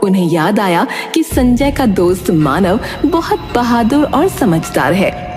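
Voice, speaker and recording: A woman narrates a story in a scripted, dramatic style. Her mid-pitched voice moves up and down expressively, with clear music underneath. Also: sing-song, a little slow.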